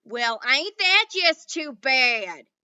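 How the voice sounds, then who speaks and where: A woman voicing a tiny cowboy who drawls slowly and sarcastically, often shouting. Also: high-pitched, clear, resonant tone, dull audio, full character voice. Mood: sarcastic.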